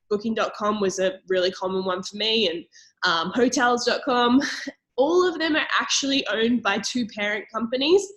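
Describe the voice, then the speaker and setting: A woman's voice: a little high, a bit bright, rough recording. A young Australian woman with an Australian accent, giving an upbeat, persuasive sales presentation on a call, with frequent fillers. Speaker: network marketing presenter.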